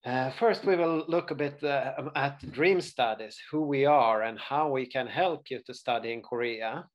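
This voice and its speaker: A man in his forties from Sweden with a Swedish accent, presenting a study abroad webinar in an earnest way, often pausing with uh and restarting words. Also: medium pitch, dull audio. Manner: earnest, frequent uh fillers and restarts. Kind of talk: webinar presentation over slides.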